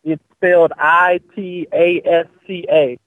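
A man answering a phone call, halting and repeating words as he gives directions. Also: fairly high pitch, little pitch movement, a bit bright, no echo. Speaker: church contact receiving a call. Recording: tinny phone line.

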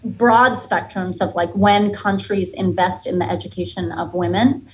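A woman's voice: medium pitch, normal speed, low-quality recording. An American woman in her thirties, a comedian being interviewed, talking casually and candidly in a chatty, playfully joking way. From United States, Massachusetts and Florida. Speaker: podcast guest, stand-up comedian.

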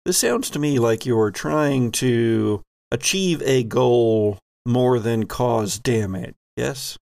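A man hosting and narrating a tabletop horror game in a measured, theatrical way. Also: medium pitch, vocal fry, darkish tone, dry recording. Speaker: game master and podcast host.

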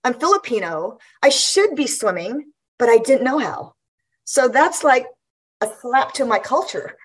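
A chatty woman in her fifties, from Guam, telling stories in a relaxed interview. She laughs easily and often stops to restart her sentences, in a slightly high voice. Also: a little slow, slightly rough. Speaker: guest athlete. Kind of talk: casual interview chat.

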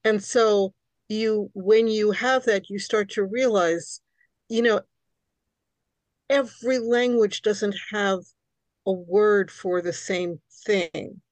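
Woman in her 60s, Hungarian first language, New York raised; animated podcast guest, dry sound. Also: mid-range voice, slow, no room sound. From New York City, Queens. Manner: animated, repeats words when starting thoughts. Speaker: guest author. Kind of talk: conversational podcast interview.

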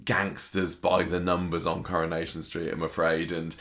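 A man's voice: low-pitched, flat delivery, gravelly, fairly bright tone. A man with a sore throat recapping a soap opera in casual chat, often stopping and restarting as he mocks the characters. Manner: mocking impressions of characters, halting restarts. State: sore throat, losing his voice. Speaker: soap opera podcast host.

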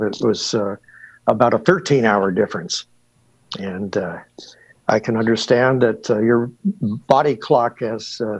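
A man hosting an interview show, introducing his guest in a formal, deliberate way with many uhs. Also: lowish voice, no room sound. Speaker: radio show host. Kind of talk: hosted interview. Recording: dull, dry, lo-fi audio.

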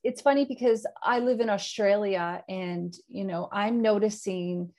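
A woman's voice: medium pitch, a little slow, warm tone. A woman from Canada with a Canadian accent, chatting as the host of an interview podcast. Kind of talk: conversational interview.

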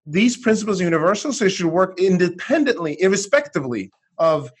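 Animated man telling his story. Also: high-pitched, fast talker, husky. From Sweden. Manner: animated storytelling, frequent restarts. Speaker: author and entrepreneur guest. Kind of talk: conversational interview.

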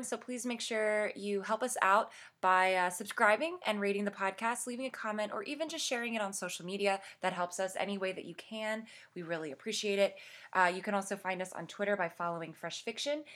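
A woman's voice: mid-range voice, a bit bright. A woman hosting a podcast in a casual, chatty and effusive style. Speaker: podcast host.

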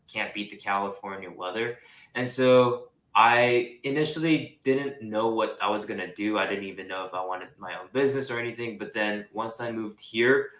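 A young man from Japan who grew up in Singapore, a real estate investor talking casually as an interview guest, relaxed and chatty with lots of "like" fillers. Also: fairly low pitch, roomy sound, poor audio. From Japan, raised in Singapore. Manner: relaxed, chatty, many "like" fillers, laughs easily.

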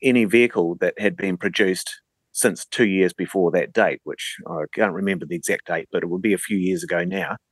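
A man's voice: low-pitched, fairly even intonation, a little fast, no echo. A man with a New Zealand accent telling anecdotes in a casual radio conversation as a guest.

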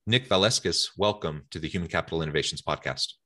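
A man with a very deep, low voice hosts an interview podcast. He sounds eager and conversational, often pausing on "um" and "uh" and restarting phrases as he talks. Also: pushed and bright, faint music behind. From United States. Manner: enthusiastic, filler-heavy conversational hosting. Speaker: podcast host.

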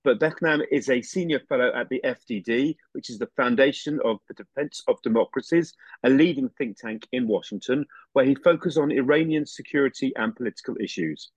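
A man hosts an interview podcast, giving a formal introduction and handing over to his guest. His mid-pitched voice sounds dry, with no room sound around it, and he restarts phrases now and then. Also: brisk, slightly rough, dry recording, poor audio. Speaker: podcast host. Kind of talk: interview hosting.

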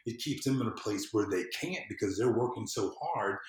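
An American man speaking as a podcast guest in casual conversation, earnest and emphatic, often halting and repeating words. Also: lowish voice, animated. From southern United States. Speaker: podcast guest, civic empowerment consultant. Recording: roomy, echoey sound.

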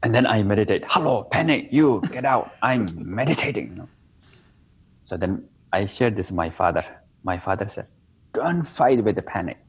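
Casting: man with a Nepali accent, low husky voice, animated pitch, self-mocking and playful in interview. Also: normal speed. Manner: simple broken English, playful, laughs at himself. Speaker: meditation teacher. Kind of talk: relaxed interview conversation.

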